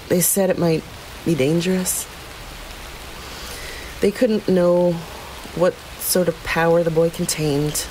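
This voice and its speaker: A woman playing a haunted, compassionate small-town woman, telling her horror story in a somber, measured audio drama narration with hesitant pauses. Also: low-pitched, a little mellow, a little hiss or hum, low-quality recording. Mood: somber, uneasy. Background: music under the voice.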